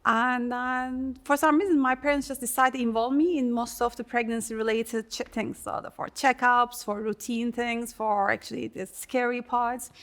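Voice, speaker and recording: A woman's voice: a little high, steady tone, moderate pace, few pauses, fairly bright tone. A woman from Turkey with a Turkish accent, a research scientist talking casually and personally, with a rambling, filler-sprinkled way of telling things. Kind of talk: casual conversation about life and career.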